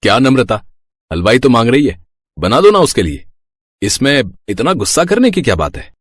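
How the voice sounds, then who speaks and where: A man playing an indulgent middle-aged Indian father with a husky voice, genial and bantering in a story. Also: mid-range voice, big pitch swings, moderate pace, dry recording, clean studio sound. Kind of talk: animated Hindi moral story dialogue.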